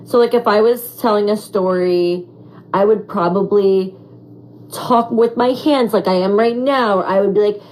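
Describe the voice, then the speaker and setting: A raspy young woman answering fan questions in a casual, animated chat, full of "like" and "um". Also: mid-range voice, moderate pace, rough recording. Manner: animated, chatty, heavy on fillers like "like" and "um". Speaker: former reality show contestant.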